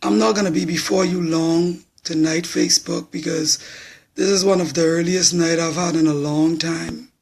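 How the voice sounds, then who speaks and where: Hoarse Bahamian man, blunt. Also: on the high side, steady tone, moderate pace. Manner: blunt, plain-spoken. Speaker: political supporter. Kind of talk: live social media talk.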